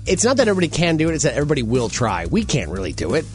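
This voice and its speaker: Morning radio host, male, nasal Chicago accent, pitched a bit high, animated delivery, light music under. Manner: animated, jokey, quick to laugh. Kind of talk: casual morning radio banter.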